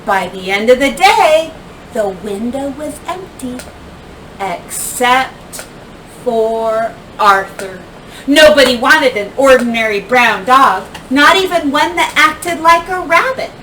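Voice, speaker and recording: A woman reads a children's storybook aloud in a high, bright voice. She takes it very slowly, leaning on key words and leaving dramatic pauses like a classroom teacher. The recording sounds a little distant from the microphone. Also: lively intonation. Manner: deliberate storyteller phrasing with dramatic pauses and emphasis. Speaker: teacher reading a picture book aloud. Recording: distant mic, faint background noise.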